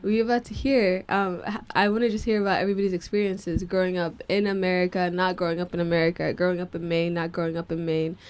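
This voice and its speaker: A woman's voice: lowish voice, flat delivery, quick pace, few pauses, clean tone. A young woman hosting a casual podcast. She asks her guests questions and answers them with affirming, laughing replies. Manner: warm, affirming, laughs often. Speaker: podcast host.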